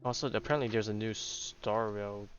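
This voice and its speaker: A man's voice: fairly low pitch, steady tone, normal speed, muffled recording, over music. A man giving casual live gameplay commentary as a streamer, rambling and offhand. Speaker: game streamer.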